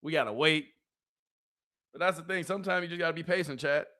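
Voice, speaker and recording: A male stream host with a hoarse, worn voice pitched a little high. He talks to his chat in loose, animated bursts, circling back to the same catchphrases again and again. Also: fast. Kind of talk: casual watch-party chat.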